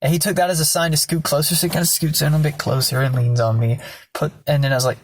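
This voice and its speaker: A hoarse young man telling a personal story to his own camera at home, rambling and swearing freely. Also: on the high side, little pitch movement, fast, a little hiss or hum. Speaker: vlogger. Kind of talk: casual storytelling vlog. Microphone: self-filmed camera.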